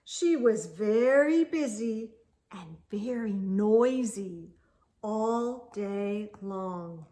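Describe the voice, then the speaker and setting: A woman hosting children's storytime, reading a picture book aloud expressively with deliberate, drawn-out phrasing. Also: mid-range voice, animated, mellow. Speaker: children's storytime host and reader.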